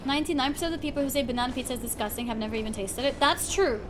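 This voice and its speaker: A woman's voice: sits high, racing. A young adult woman chatting casually while streaming a game. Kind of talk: casual gaming chat. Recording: clear background noise, keyboard typing.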